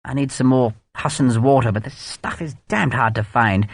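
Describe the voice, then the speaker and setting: A man's voice: medium pitch, moderate pace, gravelly, slightly rough, dry recording. A man voicing a plump, flustered, pompous colonel who stammers and hesitates. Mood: fretful.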